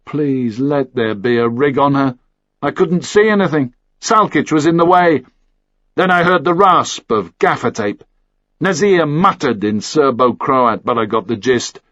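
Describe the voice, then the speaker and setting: A man's voice: mid-range voice, lively intonation, unhurried, fairly bright tone, dry recording, lo-fi sound. A man reading a first-person action thriller as scripted audiobook narration.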